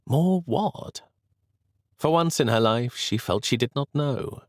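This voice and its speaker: A man narrating a period novel as an audiobook in a measured, expressive storytelling manner. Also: mid-range voice, no room sound, clean studio sound.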